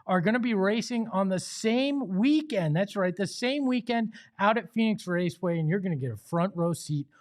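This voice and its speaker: A man's voice: high voice, expressive, moderate pace, few pauses, a little mellow. A man with an American accent hosting a sports show, speaking in an emphatic, conversational way. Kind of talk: opinionated sports talk and host-read ads.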